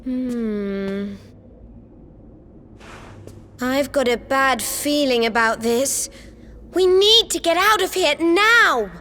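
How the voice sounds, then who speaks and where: An actress voicing a sharp-witted, wary young adventurer with a blunt, wry manner in a video game. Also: female voice, high-pitched, animated, very slow, pauses often, slight background noise, heavily acted. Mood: uneasy. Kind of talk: video game cutscene acting. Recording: loud game music underneath. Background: loud background music.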